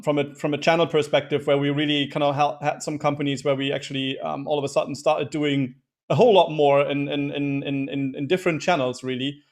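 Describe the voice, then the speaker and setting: Rambling male web-show host. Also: on the high side. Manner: rambling, repeats words, many fillers. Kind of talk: casual chat.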